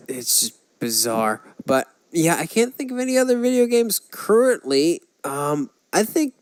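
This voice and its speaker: A man's voice: mid-range voice, a little mellow, smooth, no room sound. A man co-hosting a podcast, talking casually in a conversational way. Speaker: podcast co-host. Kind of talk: casual chat about movies and games.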